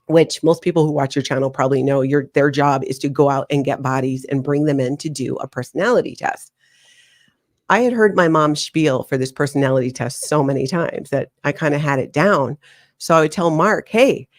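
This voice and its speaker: A woman in her forties from Hawaii, a guest telling her life story in an interview, animated and laughing often. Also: low-pitched, brisk. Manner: animated, laughs often, slips into acted quotes.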